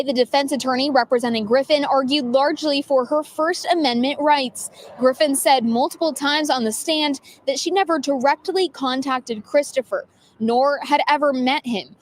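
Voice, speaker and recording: A woman reporter with an American accent reads a scripted news story. Her voice is notably high, in a formal broadcast delivery. Faint ambient noise sits behind her.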